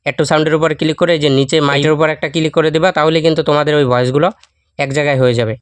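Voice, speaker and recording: A man races through a how-to voice-over at a very fast pace. His delivery stays level with little melody, and his voice is clean and smooth. He speaks straight to viewers, guiding them step by step. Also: fairly high pitch, steady tone, no room sound. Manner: addresses viewers directly, step by step. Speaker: YouTube tutorial host. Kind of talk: instructional screen-recording voice-over.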